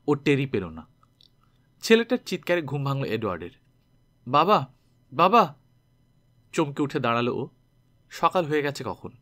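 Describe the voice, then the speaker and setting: A man narrating a scripted story dramatically, with expressive delivery as an audiobook reader. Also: medium pitch.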